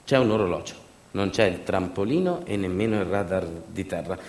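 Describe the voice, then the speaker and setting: A man performing a theatrical narrative monologue, sardonic and full of ironic asides, moving between emphatic delivery and quiet understatement. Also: deep voice, lively intonation, a little fast. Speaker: stage actor and narrator of a monologue. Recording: echoey, roomy sound.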